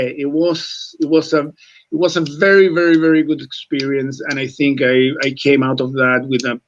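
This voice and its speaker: A man's voice: mid-range voice, muffled recording. A man in his forties from Chile, whose first language is not English, talking earnestly as a rugby coach in a radio interview. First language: Spanish. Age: 40s. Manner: earnest, repeats words, says mate often.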